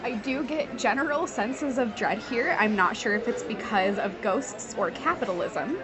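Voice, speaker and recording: A woman giving a casual, deadpan on-location report over the din of a busy restaurant. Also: fairly high pitch, moderate pace. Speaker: diner recording a field report. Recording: loud restaurant crowd noise.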